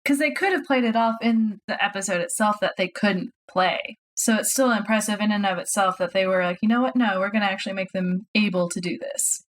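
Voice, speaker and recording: A woman's voice: mid-range voice, brisk, few pauses, slight background noise. A woman from the American South chatting casually as a podcast co-host. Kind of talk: casual chat.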